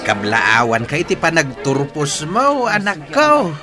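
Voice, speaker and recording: A man's voice: fairly high pitch, huge pitch swings, slow, over loud music, exaggerated voice. A man with a Filipino accent playing a grateful, devout elderly father in an audio drama. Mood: joyful.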